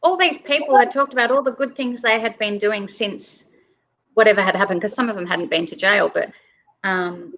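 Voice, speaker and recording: A woman guest talks casually and earnestly, in a conversational way. Her voice is bright and forward, sitting at a medium pitch. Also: moderate pace, rough recording. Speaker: guest reader. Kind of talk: casual discussion.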